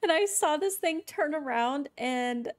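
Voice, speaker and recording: A woman giving casual, gushing live commentary on a game she is playing, with an expressive, slangy way of talking. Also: high voice, a little slow. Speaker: game streamer.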